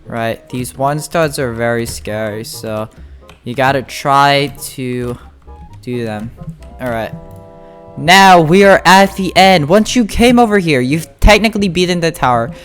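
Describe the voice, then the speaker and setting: A game guide host talks viewers through play in a medium-pitched voice. The delivery is informal and halting, like someone thinking aloud while playing. Music runs behind the commentary. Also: animated, clean tone. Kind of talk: casual gameplay tutorial commentary.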